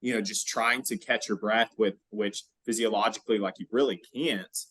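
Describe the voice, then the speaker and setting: A man's voice: fairly low pitch, steady tone, normal speed, fairly bright tone, husky, no room sound. Man explaining conversationally, close dry sound. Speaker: podcast host explaining exercise science.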